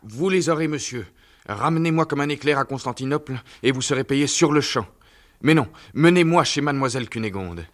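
A man's voice: mid-range voice, lively intonation, brisk, voice pushed up, close to an everyday voice. A man voicing Candide, a naive, earnest young man, in a storybook reading; he grows exclamatory when moved. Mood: excited.

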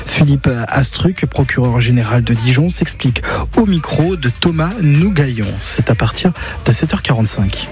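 A man presenting the morning news on local radio in a steady, scripted way from a studio mic. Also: mid-range voice, moderate pace. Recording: dry studio, faint music bed.

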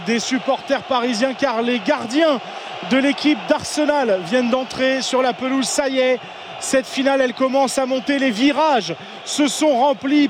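High-voiced man reporting live outdoors. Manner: calls out over the crowd noise. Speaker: field reporter at a stadium. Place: outdoors at a stadium.

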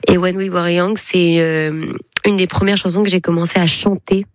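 Chatty young woman, low voice. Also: steady tone, moderate pace, dry recording, clean studio sound. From Belgium. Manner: chatty, with frequent hesitations. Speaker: guest singer. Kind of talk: casual interview chat.

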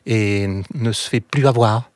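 A man hosting a radio interview, asking measured, probing questions. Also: medium pitch, no echo, studio quality. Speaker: interviewer, host.

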